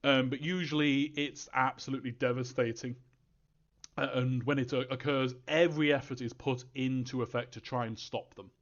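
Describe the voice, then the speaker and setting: A man's voice: mid-range voice, fairly even intonation, moderate pace. Hesitant man with dull lo-fi sound. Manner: hesitant, rambling, thinking aloud. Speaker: game creator answering fan questions. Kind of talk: casual live Q&A talk.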